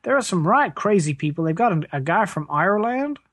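A performer playing a whiny, earnest working-class space plumber in an improvised mock interview, rambling in a plaintive deadpan. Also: medium pitch, brisk, husky, no echo, studio quality. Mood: matter-of-fact.

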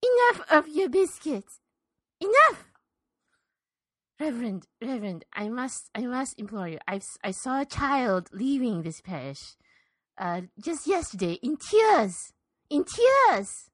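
Actress as an indignant, easily upset old woman, high-voiced and stammering, repeating herself; sketch comedy, dry sound. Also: big pitch swings, a little slow, a bit bright, no room sound, full character voice. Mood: outraged.

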